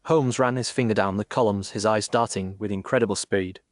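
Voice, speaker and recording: A man reading a Victorian detective story in a measured, formal, literary manner. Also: lowish voice. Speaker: narrator.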